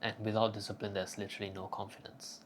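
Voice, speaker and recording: A man's voice: fairly low pitch, flat delivery, fast talker, a little hiss or hum. A young man giving casual self-improvement advice in a cocky, profane, joking manner. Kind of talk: casual crude advice monologue.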